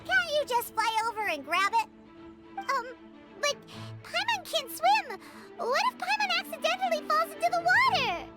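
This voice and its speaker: A woman voicing a tiny, excitable, childlike floating fairy companion in a video game cutscene, speaking in exclamations. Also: extremely high pitch, moderate pace. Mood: excited, flustered. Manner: refers to self in third person, exclamatory. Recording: loud music behind the voice.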